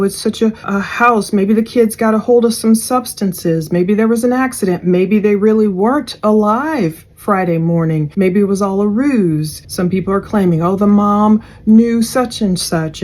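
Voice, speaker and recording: A woman's voice: mid-range voice, a little mellow. A woman hosting a true crime commentary in a casual, conversational and speculative way. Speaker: host of a true crime channel.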